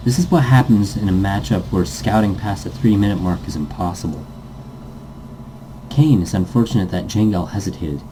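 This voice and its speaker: Man, soft dark tone, story narration, playful, self-correcting, faint background noise. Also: on the low side, low-quality recording. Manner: stumbles over text and self-corrects, goofy. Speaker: let's player reading a visual novel aloud. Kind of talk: story narration read aloud with playful asides.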